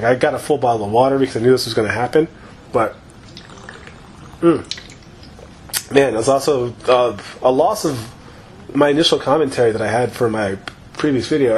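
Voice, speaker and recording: A man chatting casually and with plenty of swearing as he plays, wandering from point to point. His voice is a touch hoarse from talking too long, sitting at an everyday middle pitch. A faint hiss runs under the home recording. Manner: loose, profane, rambling. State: slightly hoarse. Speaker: gaming YouTuber. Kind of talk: casual gameplay commentary.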